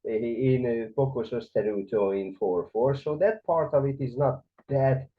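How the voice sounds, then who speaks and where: A man's voice: mid-range voice, normal speed. A man with a Hungarian accent teaching conducting, explaining the beats and sometimes counting or singing the rhythms aloud. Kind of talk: explanatory music lesson. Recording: dull lo-fi sound.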